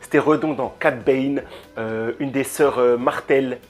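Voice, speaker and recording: Man, casual rant, animated, hesitant restarts, handheld mic, faint music behind. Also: mid-range voice, unhurried. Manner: animated, frequent hesitations and repeated words. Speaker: YouTube reviewer. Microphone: handheld microphone.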